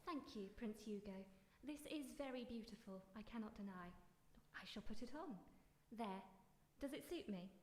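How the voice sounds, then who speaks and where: A woman playing a headstrong, dreamy sixteen-year-old princess in an expressive, theatrical fairy-tale radio drama. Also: on the high side, moderate pace, a little hiss or hum, natural voice. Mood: polite. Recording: echoey hall, music behind.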